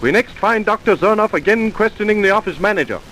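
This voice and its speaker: An actor playing a grave, emphatic narrator of an old radio mystery, formal and declamatory. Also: male voice, high voice, animated, fast talker, a bit bright. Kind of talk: vintage scripted radio narration. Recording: noisy vintage radio recording.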